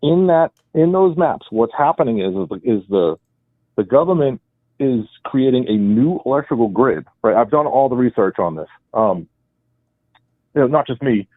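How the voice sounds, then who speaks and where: A man's voice: mid-range voice, animated, moderate pace, dry recording. A man with a Boston accent calling in to a radio show, explaining at length with rambling emphasis. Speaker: caller. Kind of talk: call-in radio talk. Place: home, with his wife beside him. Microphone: speakerphone, then handset. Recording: dry phone line.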